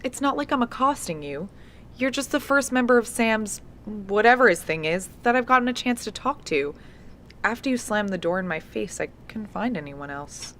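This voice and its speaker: A woman's voice: mid-range voice, animated, moderate pace, a bit bright, lightly acted. An actress playing an earnest, persistent young researcher recording her findings in a horror audio drama. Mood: insistent. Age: young adult. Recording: noisy lo-fi tape field recording.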